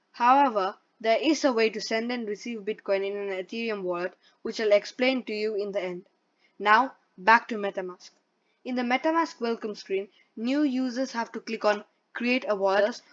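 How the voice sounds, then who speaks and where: A woman presenting a step-by-step tutorial in a plain, instructional way, reading from a script. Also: medium pitch. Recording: dull lo-fi voice-over.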